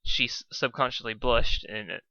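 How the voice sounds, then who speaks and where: Male amateur story reader, informal with off-the-cuff asides, forceful bright tone, muffled dry home recording. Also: medium pitch, moderate pace, clear, resonant tone, no echo. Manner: stumbles over words and comments on the text.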